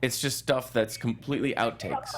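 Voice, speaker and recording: A man's voice: mid-range voice, normal speed, background noise. A man talking casually as a guest on a panel show.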